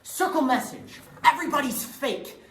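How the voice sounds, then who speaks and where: A woman's voice: sits high, lively intonation, moderate pace, fairly bright tone. A woman acting in a readers theatre piece with rehearsed, theatrical delivery. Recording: roomy stage recording with background hiss.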